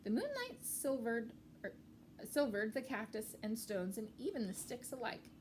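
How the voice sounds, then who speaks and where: A woman's voice: fairly high pitch, very animated, moderate pace, background noise. A woman reading a picture book to young children, explaining things in simple, repeated words like a teacher. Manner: clear, simple explaining with repetition for small children. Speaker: children's story-time reader and teacher.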